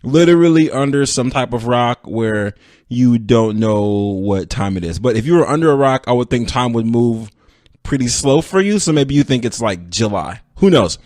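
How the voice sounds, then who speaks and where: A man's voice: medium pitch, lively intonation, normal speed, darkish tone, no echo, clean studio sound. A young man hosting a casual solo sports podcast, rambling and self-deprecating as he talks straight to his listeners. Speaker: podcast host.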